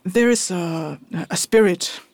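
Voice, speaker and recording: A woman's voice: on the low side, slow, a little mellow. A woman playing a blunt, practical and skeptical traveling fixer in a fantasy audio drama. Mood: explaining, apologetic.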